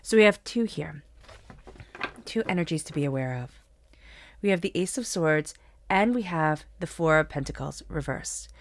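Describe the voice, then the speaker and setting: A woman's voice: sits low, animated, moderate pace, pauses often. A woman reading tarot cards for her viewers, talking in an earnest, encouraging way while she has a cold. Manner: soothing guided meditation, then earnest spiritual pep talk. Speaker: tarot reader. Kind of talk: solo tarot reading to camera.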